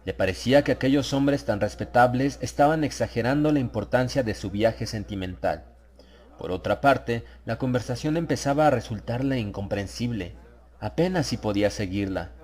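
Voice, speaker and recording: A man narrating a horror audiobook from a script, in a measured, literary storytelling manner. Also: medium pitch, darkish tone.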